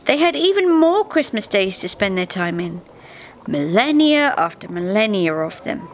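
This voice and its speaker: A woman's voice: medium pitch, very animated, moderate pace. A woman narrating a scripted comic story expressively, as a storyteller reading live. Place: small theatre, live reading. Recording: faint noise, live theatre reading.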